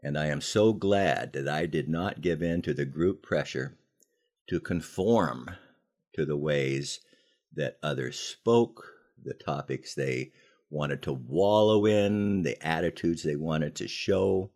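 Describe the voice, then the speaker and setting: An older American man telling personal stories in a conversational, folksy way, leaning deliberately on key phrases, as a podcast host. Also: extremely low pitch, darkish tone. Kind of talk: conversational storytelling with moral lessons.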